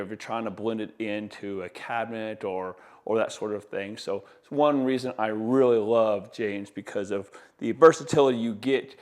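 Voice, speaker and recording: A man giving a casual, enthusiastic product demonstration as an installer, with folksy, colloquial sales patter. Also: lowish voice. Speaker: audio installer presenting products.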